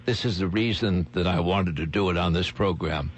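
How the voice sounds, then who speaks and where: Low-voiced male radio host over humming noise. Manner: halting, repetitive, emphatic. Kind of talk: talk radio interview. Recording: clear background noise and hum.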